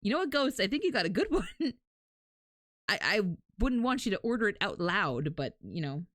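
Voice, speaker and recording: Animated woman chatting casually on stream. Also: mid-range voice, normal speed, no echo, studio quality. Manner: candid, freely swearing, self-deprecating humour; animated, dramatic storytelling. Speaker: livestreamer chatting with viewers. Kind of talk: casual livestream chat.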